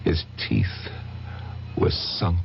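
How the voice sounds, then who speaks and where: An actor in an old-time radio drama playing a haunted, guilt-ridden man, confessing intensely with halting dramatic pauses. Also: a little hiss or hum. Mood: haunted intensity. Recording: muffled vintage radio recording.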